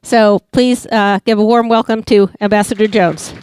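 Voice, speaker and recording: A woman's voice: medium pitch, steady tone, normal speed, darkish tone, clean tone, some chatter behind. A woman hosting an event, reading announcements and introducing the speaker, hesitant with frequent fillers. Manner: hesitant, with many uhs. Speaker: event host.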